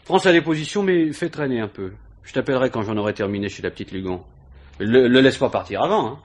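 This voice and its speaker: A man's voice: medium pitch, expressive, fast, natural voice. A man acting a matter-of-fact, persistent police inspector who asks informal questions in a radio crime drama. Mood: casual, brisk. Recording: noisy vintage radio recording.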